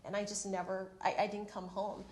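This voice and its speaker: A woman's voice: medium pitch, little pitch movement, fairly quick, a little mellow, reverberant. A woman in her thirties from the Philippines, telling her career story on a panel in a candid, self-deprecating way. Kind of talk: panel discussion. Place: university event room.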